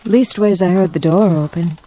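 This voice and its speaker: An actress playing a plaintive, weary aunt in a radio drama, with folksy talk and a protesting manner. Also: female voice, lowish voice, moderate pace, warm tone, lightly acted.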